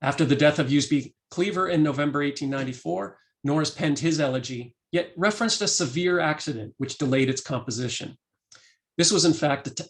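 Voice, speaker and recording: A man, an academic lecturer, reading a prepared talk in a measured way and voicing quoted passages. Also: mid-range voice. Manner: measured, reads quotations aloud.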